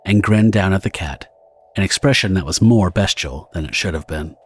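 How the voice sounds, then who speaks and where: A man's voice: low-pitched, moderate pace, breathy edge. A man narrating a pulp horror audio drama from a script. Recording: guitar music behind the narration. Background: plucked guitar music.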